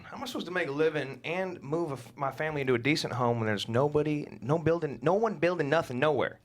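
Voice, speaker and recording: A man's voice: a little high, fast talker, natural voice. A man reading the part of a blunt, grumbling, out-of-work working-class husband and father in a script. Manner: grumbling, blunt, simple-minded.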